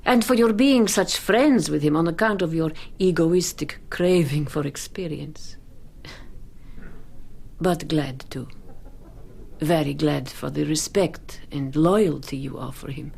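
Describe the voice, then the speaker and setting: An actress playing a proud, worldly woman in a radio drama, coolly alluring, with a languid, ironic delivery that turns breathy at phrase ends. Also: sits low, slow pace, darkish tone, exaggerated voice. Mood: confiding. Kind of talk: literary radio drama acting.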